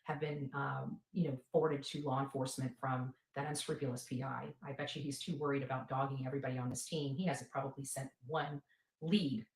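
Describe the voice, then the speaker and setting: A low-pitched woman hosts a casual livestream in a roomy, echoing space. She rambles to her followers and repeats phrases for emphasis. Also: fairly even intonation, brisk. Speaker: livestream host and advocate.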